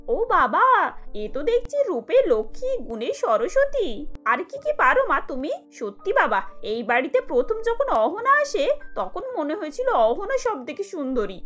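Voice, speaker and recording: A woman's voice: sits high, a bit bright, muffled recording, heavily acted. A woman voicing a chatty older neighbourhood aunt in a cartoon, effusive and motherly.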